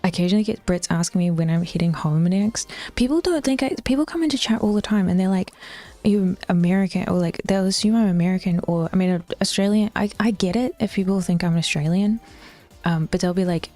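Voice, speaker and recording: Chatty Auckland woman with a mellow voice. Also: fairly low pitch, quick pace, no echo, soft background music. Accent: Auckland New Zealand. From New Zealand. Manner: rambling, swears casually, drops into whispers. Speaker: streamer. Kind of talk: casual chat with live chat.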